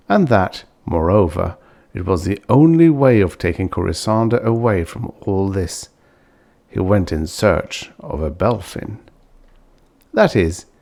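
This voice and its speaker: A man narrating fiction as an audiobook reader, in an expressive scripted storytelling style. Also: low-pitched, gravelly.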